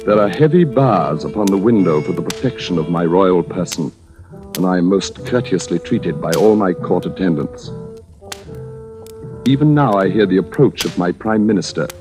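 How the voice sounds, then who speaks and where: A man's voice: low-pitched, lively intonation, normal speed. An actor playing a deluded asylum patient who believes he is royalty, speaking in a pompous, formal, theatrical way in a vintage radio drama. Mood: grandiose. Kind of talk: 1940s radio drama acting. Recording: vintage radio recording, faint music.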